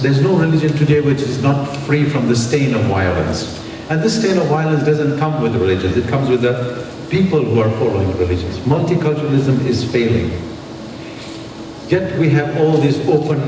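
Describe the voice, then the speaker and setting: Middle-aged male conference speaker from Tanzania, emphatic, mid-pitched, heard in a heavily reverberant hall. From Tanzania, of Indian origin. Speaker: university lecturer, conference speaker. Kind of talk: public conference speech. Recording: very echoey hall, distant mic, clear noise.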